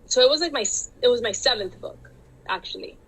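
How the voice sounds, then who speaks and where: A woman's voice: fairly high pitch, lively intonation, fairly quick, a bit bright, poor audio. A Dominican-born woman with a Miami Cuban-tinged accent, chatting casually as a guest author and laughing often. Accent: Miami Cuban-inflected English. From Dominican Republic. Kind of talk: casual interview conversation.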